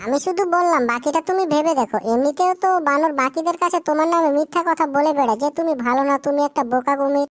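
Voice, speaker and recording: A wicked cartoon jackal, played by a woman, with a clearly high voice and a close, dry sound. The creature is sly and vengeful, making demands and goading others. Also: fast, hardly any pauses, dry recording, close to an everyday voice. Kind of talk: cartoon moral-tale character acting.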